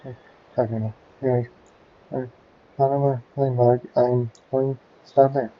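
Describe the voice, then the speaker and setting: A man's voice: medium pitch, little pitch movement, unhurried. A man streaming a game, reading its text aloud. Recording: muffled audio with game music.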